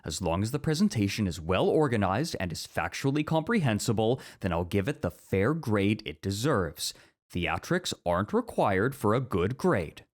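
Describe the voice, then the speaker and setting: Man playing a deep-voiced, strict professor, formal and pedantic. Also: sing-song. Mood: flustered, defensive. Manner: formal, measured, pedantic lecturing. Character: strict, orderly male academic teacher, easily flustered by teasing. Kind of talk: scripted ASMR roleplay acting.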